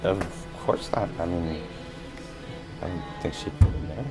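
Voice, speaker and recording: Man playing uneasy new homeowner, somewhat low voice, casual and hedging, awkwardly compliant, naturalistic podcast drama, clear music underneath. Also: fairly even intonation, unhurried. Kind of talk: naturalistic fiction podcast dialogue.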